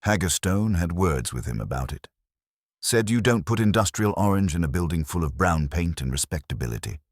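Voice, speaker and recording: A man's voice: sits low, lively intonation, a little fast, no room sound. A man voicing a weary, grumbling older male caretaker in an audio story, dry, clipped and grudging. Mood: dry, sardonic.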